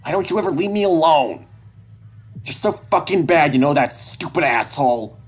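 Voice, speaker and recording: A man voicing an angry, hurt and defiant adolescent animal boy in an audio story, shouting and pleading. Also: mid-range voice, big pitch swings, normal speed, slightly rough, voice pushed up. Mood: hurt, furious. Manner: shouting, pleading, foul-mouthed.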